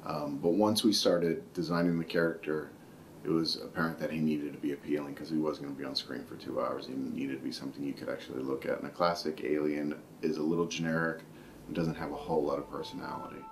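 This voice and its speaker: Very deep-voiced man in an interview. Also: level tone, fast talker, a little hiss or hum. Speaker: film animation crew interviewee. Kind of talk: behind-the-scenes interview.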